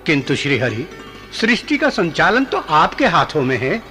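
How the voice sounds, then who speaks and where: A man's voice: on the high side, very animated, moderate pace, sharp tone. An actor playing a questioning celestial male sage in a mythological radio drama, theatrical and respectful in manner. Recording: studio radio play with music behind.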